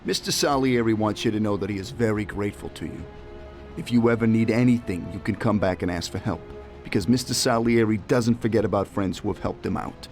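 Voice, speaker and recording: An actor playing a courteous, businesslike mobster in a video game. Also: male voice, lowish voice, brisk, a little mellow, soft background music, close to an everyday voice. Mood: calm, courteous.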